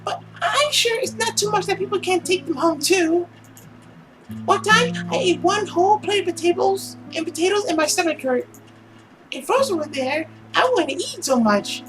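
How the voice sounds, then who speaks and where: Very high-pitched, eager little girl. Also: lively intonation, normal speed, over music, low-quality recording. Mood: earnest, chatty. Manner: childlike, eager, rambling. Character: chatty, pleading, earnest little girl. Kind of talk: visual novel character read-aloud.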